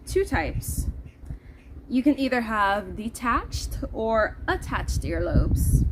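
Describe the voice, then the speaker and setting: Casting: woman vlogger, fairly high voice, chatty and playful, some background noise. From Netherlands. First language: Dutch. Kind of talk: casual vlog chat to viewers. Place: outdoors in the heat.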